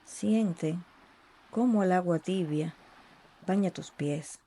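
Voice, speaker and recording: A meditation guide speaking in a soothing, unhurried way with long pauses between short phrases. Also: mid-range voice, mellow. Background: ambient sea sounds.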